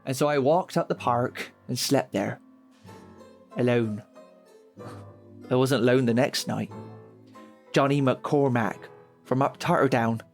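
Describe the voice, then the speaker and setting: A man's voice: mid-range voice, slow pace, pauses often, faint music behind. A man playing a bitter, wounded teenage son in an audio drama, speaking in colloquial slang. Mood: brooding, narrating. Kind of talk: audio drama dialogue and monologue.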